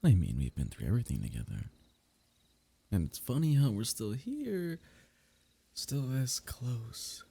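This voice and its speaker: Young man, ASMR roleplay, soft and teasing, dark mellow tone, husky edge. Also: mid-range voice, wildly swooping pitch, a little slow, long pauses. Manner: soft, intimate, teasing, drifting into whispers.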